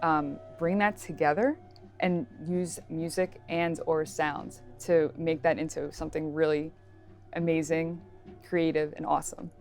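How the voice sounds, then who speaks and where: A woman's voice: low-pitched, steady tone, a little slow. A woman speaking earnestly about a craft passion in an interview. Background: faint music.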